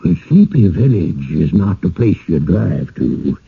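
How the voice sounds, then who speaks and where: A husky, courteous village host. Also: male voice, sits low, very animated, a little slow, heavily acted. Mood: gentle, explaining. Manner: courteous, flustered, old-fashioned phrasing. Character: polite, fretful, gently persuasive small-village host, secretly dead. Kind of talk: radio drama acting. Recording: muffled, phone-like old radio recording.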